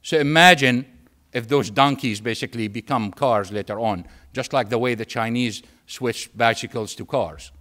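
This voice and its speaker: A man from Syria with a Syrian Arabic accent and Arabic as his first language, giving a public lecture in an emphatic way with repetition and pauses for effect. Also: mid-range voice, fairly bright tone. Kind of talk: public lecture with anecdotes and humour.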